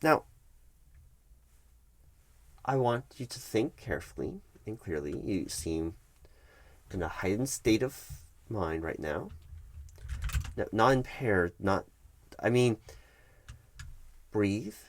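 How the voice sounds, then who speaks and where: A man playing a detached, patronizing corporate HR manager in a one-sided ASMR roleplay, speaking in a halting, measured, bureaucratic way. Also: fairly low pitch, animated. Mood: measured, condescending. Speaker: human resources manager.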